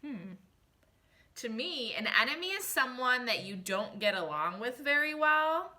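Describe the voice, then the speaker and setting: A woman's voice: on the high side, very animated, measured pace, pushed and bright. A woman teacher reading a children's picture book aloud expressively, speaking directly to young listeners and posing questions to them.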